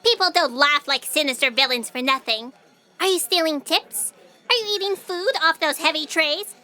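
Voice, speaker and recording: A woman playing a dramatic, vain and flirtatious female writer in a sci-fi audio comedy, theatrical and easily flattered. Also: sits high, normal speed. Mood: accusing.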